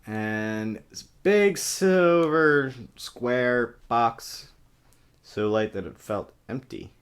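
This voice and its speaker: A man's voice: medium pitch, lively intonation, drawn-out words, clean tone. A man giving a parody dramatic reading of a novel with sarcastic, exaggerated asides, recorded on a webcam. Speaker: comic reader of a novel. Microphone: webcam microphone. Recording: choppy cheap webcam recording.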